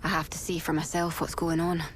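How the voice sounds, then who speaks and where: An actress playing a pragmatic, determined mercenary hunter in a video game cutscene, wry and matter-of-fact. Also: female voice, deep voice, level tone, fairly quick, slight background noise, close to an everyday voice. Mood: grim resolve.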